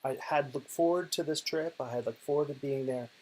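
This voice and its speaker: A man's voice: on the high side, fairly quick, a little mellow, slight background noise, poor audio. A middle-aged man from Oklahoma with an American accent, giving a casual, chatty video talk about his work from bed while ill, with many fillers and restarts. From Oklahoma, United States. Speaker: digital poet and professor. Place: bedroom, lying in bed. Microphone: self-recorded video.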